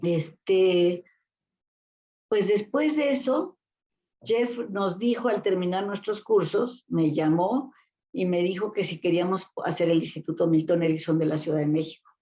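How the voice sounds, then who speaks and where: An older Mexican woman recalls her career as an interview guest, with a lowish, dark, mellow voice. She rambles and restarts often. The sound is dull and lo-fi. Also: measured pace. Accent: Mexican Spanish. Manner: rambling recollection with many fillers and restarts. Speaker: guest therapist. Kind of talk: casual interview storytelling.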